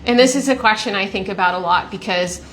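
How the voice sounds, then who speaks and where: A woman's voice: medium pitch, fast talker, fairly bright tone, a little hiss or hum, poor audio. A woman from Texas hosting a casual, reflective chat, laughing easily as she talks. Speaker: host.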